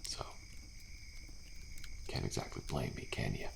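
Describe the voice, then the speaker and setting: A man playing a weary, thrill-seeking survivor in an intimate ASMR audio roleplay, talking in a slow, laid-back drawl with pauses and sighs. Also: lightly acted. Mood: wry, fatalistic. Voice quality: deep, gravelly. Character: weary, thrill-seeking male survivor of endless killer trials. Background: campfire ambience with crickets.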